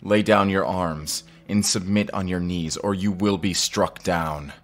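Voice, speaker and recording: A man's voice: low voice, slow pace, fairly bright tone, faint music behind, full character voice. A man playing a dutiful, heartbroken young lord and human hero, earnest and formal, in a fantasy audio drama. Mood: stern, commanding.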